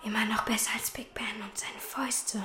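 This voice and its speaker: A woman's voice: fairly high pitch, steady tone, a little fast, pushed and bright, in a whisper. A woman voicing a timid, anxious schoolboy who stutters on hard sounds. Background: music.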